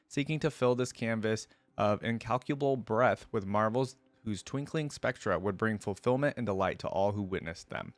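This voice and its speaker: Male reaction host, lower register, dry recording, casual asides between read-aloud passages. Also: dry recording. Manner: informal, rambling asides. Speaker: host of a game lore reaction channel. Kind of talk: casual reaction chat mixed with reading aloud.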